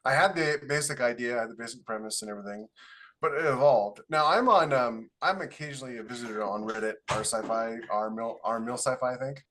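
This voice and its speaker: A man's voice: medium pitch, a little fast, sharp tone. A man chatting casually on a podcast, colloquial and anecdotal, repeating points for emphasis. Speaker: podcast host and author.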